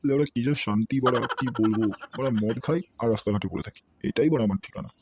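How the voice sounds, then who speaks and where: A man's voice: medium pitch, quick pace, noisy background, close to an everyday voice. A man voicing a middle-aged drunkard beggar in a comedy cartoon, rambling and constantly swearing. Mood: contented. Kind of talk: amateur comedy cartoon voice-over. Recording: music behind the voice.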